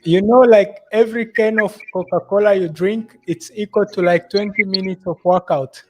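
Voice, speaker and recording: A man's voice: high voice, steady tone, moderate pace, rough recording. A man hosting a casual fitness live stream as a coach, talking in a conversational way. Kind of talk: casual chat.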